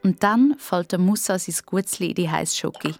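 A woman's voice: on the low side, moderate pace, creaky, a little mellow, dry recording, faint music behind. A woman with a Swiss German accent narrating a scripted story for children.

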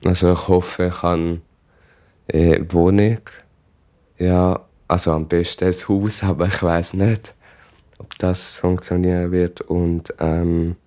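A teenage boy talks casually and haltingly in an interview. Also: low-pitched, level tone, dark tone.

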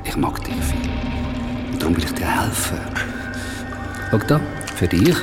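A man playing a sinister dead tormentor in a radio play, coaxing, manipulative and calmly menacing. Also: deep voice, a little slow, loud music behind the voice. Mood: falsely kind.

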